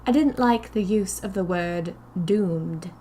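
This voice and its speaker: A woman narrating scripted first-person fiction in a deliberate, dramatic manner. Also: mid-range voice, mellow, slight background noise.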